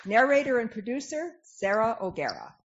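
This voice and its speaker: A woman's voice: on the high side, big pitch swings, moderate pace. A woman narrator giving formal scripted narration and announcements for a dramatic reading. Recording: dull lo-fi sound, clear background noise.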